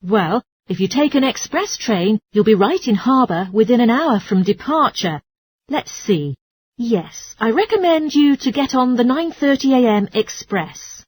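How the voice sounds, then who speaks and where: A woman's voice: a little high, lively intonation, a little slow, a little mellow, breathy edge, dry recording, poor audio. A woman playing a courteous, helpful transport information clerk in a scripted language-test dialogue.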